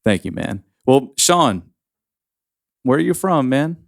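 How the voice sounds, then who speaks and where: A man hosting a casual interview show, friendly and joking, with small halting restarts as he talks. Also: medium pitch, very animated, creaky. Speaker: podcast host.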